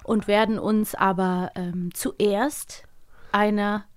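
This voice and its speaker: A woman's voice: medium pitch, steady tone, slow pace, clear, resonant tone. A woman co-hosting a casual podcast, chatting playfully and laughing often. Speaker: podcast co-host. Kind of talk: casual chat.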